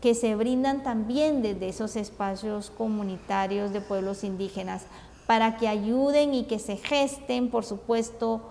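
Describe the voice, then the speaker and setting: A woman from Guatemala with a Guatemalan Spanish accent, giving a formal conference talk in a measured, deliberate way with frequent hesitations. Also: a little high, fairly even intonation, creaky, dark tone. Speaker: conference speaker. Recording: long reverb, faint ambient noise.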